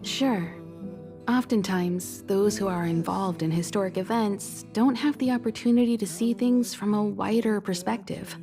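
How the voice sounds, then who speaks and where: A woman's voice: medium pitch, lightly acted. Woman voicing a composed, kindly navigator over music. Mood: gracious, solemn. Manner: measured, gracious. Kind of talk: video game voice acting. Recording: loud music behind the voice.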